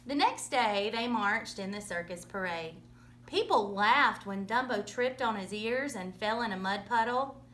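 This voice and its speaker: A woman reading a children's story aloud in an expressive, dramatic storytelling manner. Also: medium pitch, measured pace, slight background noise. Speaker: storybook reader.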